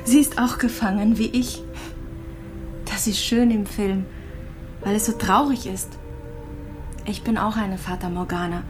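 An actress playing a gravely ill, resigned immigrant woman in a radio drama, speaking simply and dreamily. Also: mid-range voice, steady tone, unhurried, long pauses, over music, close to an everyday voice. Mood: resigned sorrow. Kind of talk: radio drama dialogue and monologue.

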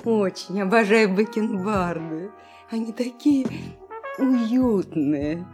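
An actress in a radio play playing a chatty, gushing, dreamy wife and hostess. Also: female voice, mid-range voice, takes it slowly, darkish tone, natural voice. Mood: fussy, smitten. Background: music.

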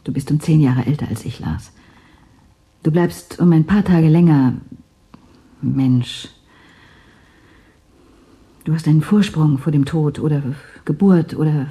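Very dark-voiced spaceship scientist. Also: female voice, deep voice, takes it slowly, long pauses, slightly rough, exaggerated voice. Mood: bitter, resigned. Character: anguished, clinical spaceship scientist, resigned woman. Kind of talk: German science fiction radio drama.

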